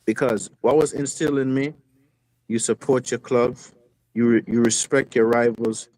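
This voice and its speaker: Low-voiced man ranting as a football fan. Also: little pitch movement, moderate pace, a little mellow, poor audio. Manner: emphatic, repeats words and phrases for stress. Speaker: fan caller on a football live stream. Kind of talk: impassioned fan rant, casual. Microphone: phone, video call.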